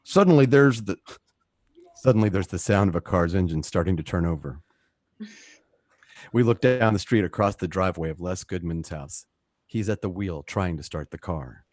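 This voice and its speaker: A low-pitched man reads dramatic narration for a radio play. The sound is dry and close, with hardly any room in it. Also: normal speed, darkish tone, dry recording.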